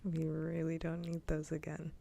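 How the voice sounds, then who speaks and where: A woman streamer chatting casually and with opinions in a soft, breathy whisper, close to an ASMR microphone. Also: low-pitched, completely flat delivery, moderate pace, a little hiss or hum.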